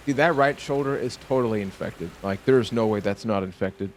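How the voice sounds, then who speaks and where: A man doing live let's play commentary as a gaming streamer, chatting casually with animated, exclamatory reactions. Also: lowish voice, normal speed, slight background noise. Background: faint game music and sounds.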